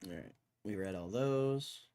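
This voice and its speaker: Laid-back male streamer with a lowish voice, going hoarse, mutters and swears casually at his desk mic. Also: animated, unhurried, mellow. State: losing his voice, throat-clearing. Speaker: stock market live streamer. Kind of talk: casual live stream chat.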